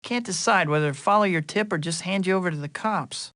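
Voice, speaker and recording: A young American male superhero for a video game. His voice sits high and forward with a crisp, bright edge, recorded very dry. He tosses out cocky, friendly wisecracks in a boyish, conversational way. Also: fairly quick, no echo. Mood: wry, bantering. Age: young adult. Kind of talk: video game voice lines, short quips.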